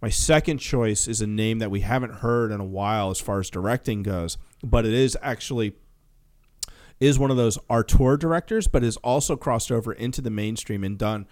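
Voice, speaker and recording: A man's voice: on the low side, normal speed, a little mellow, no echo, clean studio sound. A man co-hosting a podcast, casually discussing film news. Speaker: podcast co-host.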